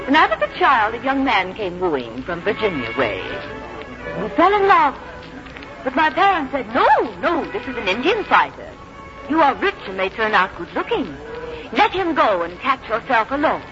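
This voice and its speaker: A coy, wistful society lady with a defiant streak in a 1950s radio drama. Her delivery is theatrical and teasing, swinging widely in pitch. Music plays behind her on a dull recording. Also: big pitch swings, moderate pace.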